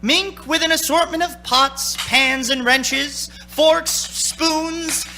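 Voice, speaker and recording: Slow, theatrical radio suspense announcer. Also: male voice, extremely high pitch, steady tone, bright, forceful tone, faint music behind, full character voice. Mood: hushed narration. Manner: theatrical, deliberate. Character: dramatic old-time radio suspense announcer. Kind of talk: old-time radio announcing.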